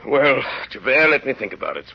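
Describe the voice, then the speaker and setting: An actor playing a composed, authoritative mayor and magistrate in a 1930s radio drama, measured and terse. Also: male voice, medium pitch, very animated, vocal fry, breathy edge, full character voice. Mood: calm, grave. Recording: dull old 1930s radio recording.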